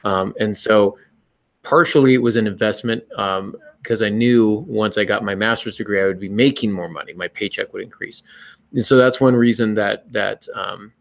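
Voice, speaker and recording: A man's voice: fairly low pitch, moderate pace, gravelly. A man hosting a podcast, casually explaining his views on writing in a conversational way. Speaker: podcast host and writer.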